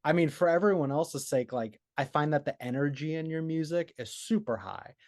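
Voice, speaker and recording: A man's voice: fairly high pitch, moderate pace, darkish tone, no echo, studio quality. Upbeat man interviewing on a call. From United States. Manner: enthusiastic, chatty, laughs easily. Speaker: interviewer for a music blog. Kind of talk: casual interview chat.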